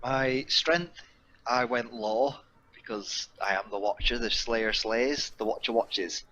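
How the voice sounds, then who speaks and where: A man's voice: mid-range voice, takes it slowly, sharp tone, dull audio, a little hiss or hum. A man talking casually on an online call as a player in a tabletop game. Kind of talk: casual chat during a game.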